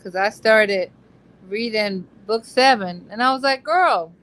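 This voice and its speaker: A woman's voice: mid-range voice, a little slow, a bit bright. A woman co-hosting a casual book discussion in a playful, slangy, joking way. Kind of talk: casual book club chat, some synopsis reading.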